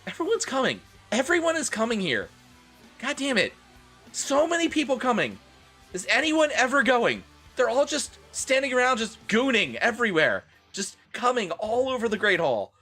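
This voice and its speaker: A man hosts a livestream with crude, theatrical banter. His voice is bright and forceful, swinging widely in pitch, over a bed of music. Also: very animated, moderate pace, husky. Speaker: livestream host. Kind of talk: livestream banter and dramatic reading of fan fiction.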